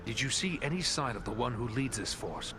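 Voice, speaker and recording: An actor playing a resolute human ranger in a fantasy video game, speaking in a formal, earnest way. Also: male voice, mid-range voice, lively intonation, brisk, background noise, close to an everyday voice. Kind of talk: video game cutscene acting.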